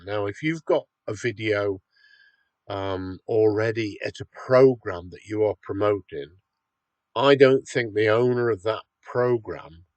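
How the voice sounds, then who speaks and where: A man's voice: lowish voice, dry recording. A man with a cold, sounding slightly nasal, walking viewers step by step through a website tool in a screen-recorded tutorial. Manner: patient, step-by-step, checks in with "okay". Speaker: website owner demonstrating a tool.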